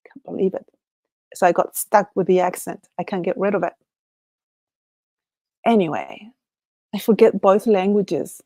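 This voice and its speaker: Woman with a Chilean Spanish accent, musing livestream host, rambling, laughs often, dry close sound, mid pitch. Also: fairly even intonation, measured pace, long pauses, mellow, dry recording. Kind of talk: casual solo livestream chat.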